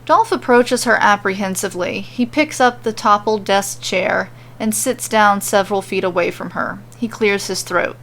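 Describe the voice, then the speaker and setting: A woman's voice: mid-range voice, moderate pace, few pauses, a bit bright. A woman hosting and narrating a story podcast in an informal, conversational way. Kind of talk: casual chat and expressive story narration.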